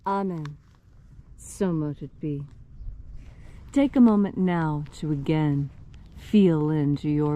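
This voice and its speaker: A woman echoing ritual prayers and then guiding a meditation in a calm, measured, instructive way. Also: on the low side. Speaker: ritual co-leader. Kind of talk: guided meditation and ritual responses.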